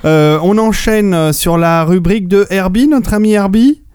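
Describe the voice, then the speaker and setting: A man's voice: high-pitched, normal speed, a little mellow, smooth, no room sound, clean studio sound. A man hosting a casual podcast chat, hesitant with many fillers and easy chuckles. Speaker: podcast host.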